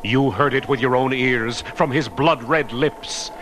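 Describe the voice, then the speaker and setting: A man's voice: mid-range voice, takes it slowly, fairly bright tone, a little hiss or hum. A man announcing a 1970s horror film trailer in a dramatic scripted style. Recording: vintage trailer audio with music behind.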